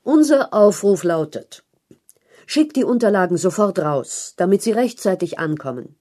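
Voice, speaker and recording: A woman narrating a written news feature in a measured, articulate read-aloud style. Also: medium pitch, expressive, warm tone. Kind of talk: scripted narration of long-form journalism.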